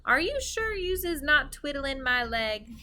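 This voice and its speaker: A woman's voice: high-pitched, big pitch swings, a little slow, pushed and bright, a little hiss or hum, voice pushed up, exaggerated voice. A woman voicing a big, kindly, bumbling male giant from a storybook, theatrical and earnest, mangling his words. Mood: delighted.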